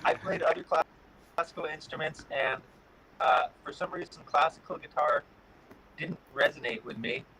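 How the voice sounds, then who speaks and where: A middle-aged man from Connecticut answering interview questions in a relaxed way, pausing often with fillers. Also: a little high, vocal fry, crowd noise, low-quality recording. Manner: relaxed, pauses with many "uh" fillers. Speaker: guest, guitar builder.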